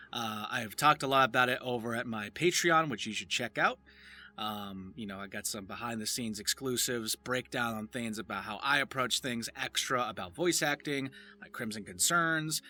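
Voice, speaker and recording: A man's voice: mid-range voice, sharp tone. A man talking casually and informally as a voice actor giving a tutorial, rambling a little and encouraging the listener. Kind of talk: loose, casual instructional talk.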